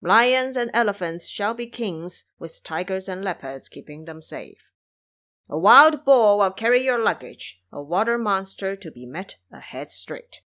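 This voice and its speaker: A woman voicing a serene old male Buddhist master in an audio drama, speaking in measured, chant-like, cryptic phrases. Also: mid-range voice, very animated, a bit bright.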